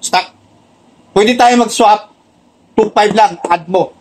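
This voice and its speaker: A man's voice: high-pitched, slow pace. A Filipino man chatting casually as he shows products to a customer and viewers, often addressing the customer respectfully. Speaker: motorcycle accessories seller and vlogger. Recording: noisy location with vehicle sounds.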